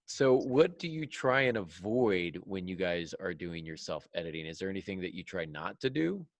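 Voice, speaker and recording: A man hosting a casual podcast discussion, giving writing advice in halting phrases and repeating points for emphasis. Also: low-pitched, very animated, no room sound. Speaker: podcast host and fiction writer.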